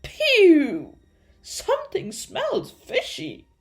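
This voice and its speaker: Woman acting cowardly male sea dentist, frantic, blustering, swooping pitch, high shrieks, storybook character. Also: huge pitch swings, drawn-out words, faint music behind, full character voice. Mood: nervous bluster. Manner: shrieking, stammering, theatrical.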